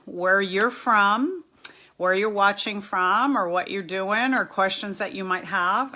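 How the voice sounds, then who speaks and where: Bright, chatty middle-aged woman host. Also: medium pitch. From New York and Miami. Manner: chatty, self-mocking, greets viewers by name. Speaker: therapist hosting a live stream. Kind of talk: casual live-stream chat.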